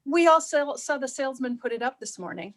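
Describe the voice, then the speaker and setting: A high voice with a hesitant, stammering delivery from a woman acting. She is a naive, well-meaning suburban housewife, easily flustered, in a staged reading of a play. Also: lively intonation, a little fast. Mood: anxious.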